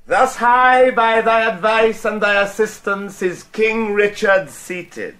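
A man's voice: high-pitched, takes it slowly, pushed and bright, heavily acted. An actor playing a scheming, ruthless, volatile king in a theatrical, commanding, abrupt Shakespearean radio drama style. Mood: triumphant.

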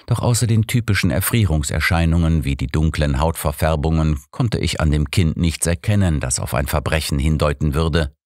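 A man's voice: extremely low pitch, moderate pace, few pauses, a little mellow, no echo, clean studio sound. A man reading scripted first-person story narration as an audiobook narrator.

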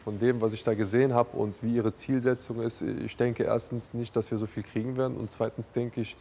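A man's voice: medium pitch, level tone, fairly quick, creaky, warm tone, a little hiss or hum. A man in his thirties talking informally and directly, as a TV dealer who haggles and comments. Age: 30s. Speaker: TV junk-dealing expert and presenter. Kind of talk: casual haggling and commentary to camera. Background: faint background music.

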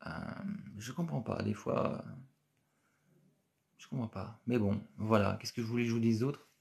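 A man, hosting a casual live stream from a small office and answering viewer comments, chatty and repetitive with many filler pauses. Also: fairly low pitch, creaky, darkish tone. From France. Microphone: phone camera at arm's length.

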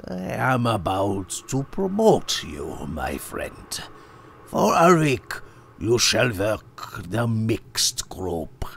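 A man voicing a cold, sly older circus owner who threatens with soft, mocking politeness. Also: low voice, very animated, slow, creaky, a little hiss or hum, pitched above the performer's own voice, heavily acted. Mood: silkily menacing.

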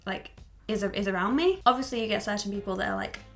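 A young woman talks casually to camera from a small room at home, candid and quick to swear. Her voice sits at a medium pitch and sounds a little stuffy from a cold. Faint music plays underneath. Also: expressive. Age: 20s. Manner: chatty, candid, swears freely, laughs often. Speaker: YouTuber.

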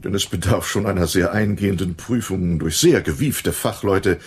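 An actor playing a shady, condescending dealer in a German radio crime drama, with a sardonic manner. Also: male voice, low-pitched, normal speed, darkish tone, breathy edge. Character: shady, condescending male dealer in crooked business.

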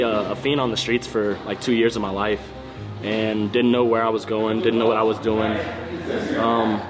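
Quiet halting man, loud music. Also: mid-range voice. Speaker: fighter. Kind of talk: documentary interview.

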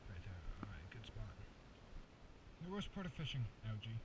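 A young man vlogging his fishing trip at a pond, chatting casually and joking, with loud excited cheers when he hooks a fish. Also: fairly low pitch, moderate pace. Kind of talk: casual outdoor fishing commentary. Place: outdoors at a pond. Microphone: head-mounted action camera. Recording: distant mic with outdoor noise.

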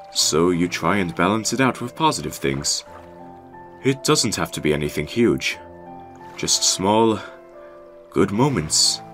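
A big, genial goat monster dad played by a man, speaking in a fairly low voice. He is folksy, kindly and thoughtful. Music plays softly behind him in this cartoon comic dub. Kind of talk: animated comic dub voice acting.

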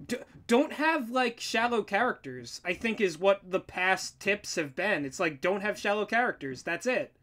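Guy with a high sharp voice riffing casually, animated and kinda exasperated. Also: moderate pace. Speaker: co-host, writer reacting to writing tips. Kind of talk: casual reaction commentary.

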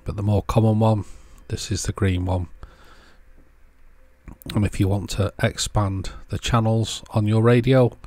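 A man with a fairly low voice narrates a practical tutorial. He is plain-spoken and understated, explaining each step casually as a channel presenter would. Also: flat delivery, unhurried, darkish tone, no room sound. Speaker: presenter of an electronics repair channel.

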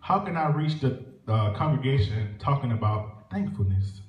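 A man's voice: mid-range voice, lively intonation, normal speed, lo-fi sound. A young man with a Southern US accent, preaching a sermon and leading prayer in a church sanctuary with rhythmic call-and-response delivery. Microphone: stand mic at pulpit, filmed by far camera. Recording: echoey church from far off. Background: organ music.

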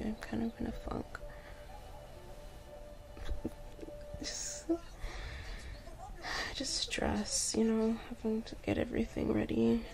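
A woman's voice: fairly high pitch, creaky, full character voice, low-quality recording. A woman playing a sleepy, affectionate girlfriend in an intimate ASMR roleplay, murmuring casually in a drowsy, half-whispered voice. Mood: soft, subdued. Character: sleepy, affectionate young girlfriend cuddling while watching TV.

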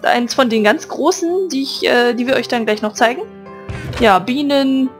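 A woman's voice: on the high side, normal speed, soft background music. A woman hosting a casual let's play, chatting playfully and giggling with her co-player. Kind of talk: casual gaming commentary.

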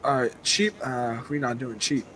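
A man's voice: mid-range voice, normal speed, a loud crowd, rough recording. A man talking casually and profanely in slang while playing an online roleplay game. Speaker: gaming video creator playing online roleplay.

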